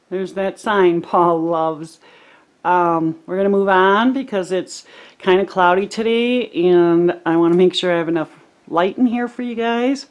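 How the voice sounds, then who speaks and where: A woman casually narrating a tour of her small cabin, filming with a handheld camera, chatty and relaxed with lots of ums. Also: mid-range voice. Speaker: homesteading vlogger giving a home tour.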